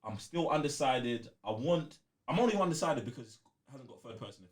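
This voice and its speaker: A man's voice: medium pitch, expressive, fairly quick. A man with a London accent co-hosting a gaming podcast in casual chat, emphatic and slangy, repeating points to drive them home. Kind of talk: casual chat about video games.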